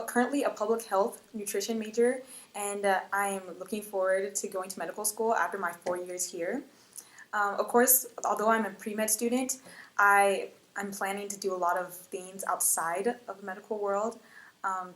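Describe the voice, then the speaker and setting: A woman in her late teens, a first-year college student, answering an interview earnestly with frequent ums. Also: mid-range voice. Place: indoor room before a campus backdrop.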